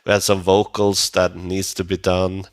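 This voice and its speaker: A man with a Swedish accent, a native Swedish speaker, chatting casually as an interview guest in halting phrases. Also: sits low, flat delivery, dry recording. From Sweden. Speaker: metal band vocalist, interview guest.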